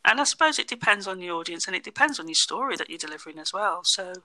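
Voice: mid-range voice, expressive, quick pace, bright, forceful tone, rough recording. A guest on a podcast discussion, speaking emphatically about personal experience.

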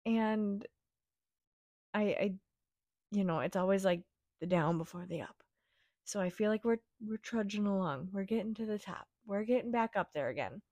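A young woman hosting her own podcast, her voice raspy from a week-long cough, chatting in a gentle, reassuring, rambling way. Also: mid-range voice, moderate pace, pauses often, no echo. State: raspy from a cold. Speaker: podcast host. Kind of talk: casual solo podcast chat.

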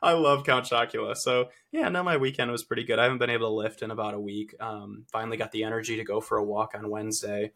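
A young man co-hosting a fitness podcast, a little congested and nasal from a cold, talking in a relaxed, chatty way with lots of fillers. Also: fairly low pitch, fast, creaky, hardly any pauses. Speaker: fitness coach and podcast co-host. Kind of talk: casual podcast chat.

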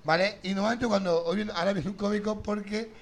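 A man's voice: high voice, steady tone, fast talker. A man hosting a live comedy game show, teasing and swearing as he jokes with the audience. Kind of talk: loose stand-up banter with the audience.

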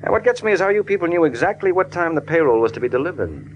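An actor playing a cool, sardonic hard-boiled American detective in 1940s radio style. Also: male voice, on the high side, very animated, rapid-fire, highs cut off, soft background music. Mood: wry. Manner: sardonic, laconic, teasing. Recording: noisy old radio drama recording.

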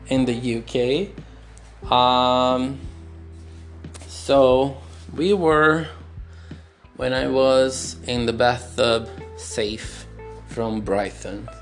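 A young Brazilian man with a Brazilian accent, a non-native English speaker, telling a personal story on his podcast in a candid, searching way, recorded on a phone. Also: mid-range voice. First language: Portuguese. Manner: slow, searching, candid, with pauses and restarts. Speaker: podcast host.

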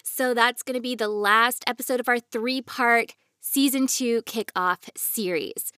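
A woman's voice: a little high, measured pace, sharp tone, no echo, studio quality. A woman presenting a podcast as host in a scripted, presentational style. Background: music bed.

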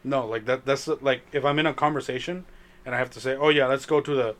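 A man chatting casually with friends, animated and profane, with Spanish as his first language. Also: medium pitch, quick pace. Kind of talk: casual chat among friends.

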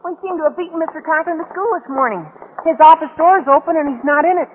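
Very high squeaky teenage boy. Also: fast talker, faint music behind. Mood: eager. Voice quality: squeaky adolescent voice. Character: eager, squeaky, gawky teenage schoolboy. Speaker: actor. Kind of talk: 1950s radio comedy acting. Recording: tinny, slightly noisy old radio recording.

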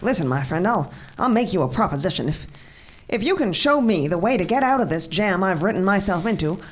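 An actress playing an indignant, strong-willed mystery writer in a suspense drama, brusque, demanding and flustered. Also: female voice, medium pitch, sing-song, fairly quick, slight background noise, natural voice. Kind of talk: old-time suspense drama acting.

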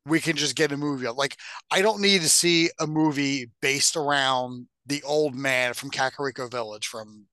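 A man's voice: mid-range voice, moderate pace, bright, forceful tone, no room sound. A man co-hosting a podcast in casual, bantering conversation with a joking, sardonic manner. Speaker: podcast co-host. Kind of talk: casual bantering chat about games and films.

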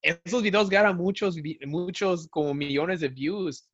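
Guy around 30, high voice, casual and halting, drops english words, slightly dull call audio. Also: a bit bright. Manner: halting explanations, mixes in English words, often seeks agreement. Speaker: podcast guest, stand-up comedian. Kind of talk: casual chat.

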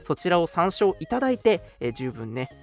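Lively male radio host over music. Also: fairly high pitch, a little fast. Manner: polite, chatty, frequent interjected particles. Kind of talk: casual scripted-segment radio announcements.

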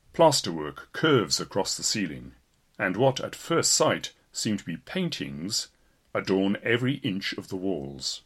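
A man's voice: fairly low pitch, a bit bright. A man reading a written blog post aloud as scripted narration, in the role of a volunteer narrator. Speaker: volunteer reader of an audio blog.

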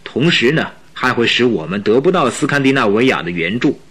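A man's voice: mid-range voice, very animated, fairly quick, a bit bright, husky. An actor playing a proud, anxious young king in a radio drama, speaking emphatically and imperiously. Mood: worried, grave.

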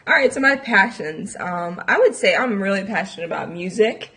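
A woman's voice: medium pitch, animated, moderate pace, a crowd in the background. A woman in her late twenties co-hosting a podcast, chatting casually about herself. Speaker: podcast co-host.

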